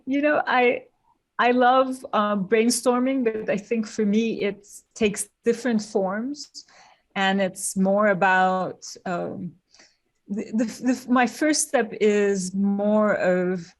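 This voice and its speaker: A woman who grew up in Turkey, a guest designer on a podcast, explaining her process thoughtfully and step by step. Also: medium pitch. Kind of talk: podcast interview.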